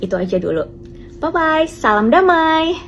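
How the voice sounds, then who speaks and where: A woman giving a casual, earnest explanation in a vlog, urging listeners to check facts and stay calm. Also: sits high, normal speed, crowd noise. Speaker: alumna explaining education system. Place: on location at a campus.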